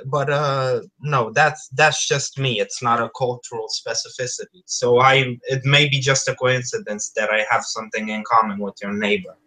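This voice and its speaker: A man's voice: medium pitch, level tone, normal speed, hardly any pauses, sharp tone, rough recording. A man with a thick Romanian accent, chatting on a group call and explaining things at length. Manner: explains at length, correcting stereotypes. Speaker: panel guest. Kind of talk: casual group call chat.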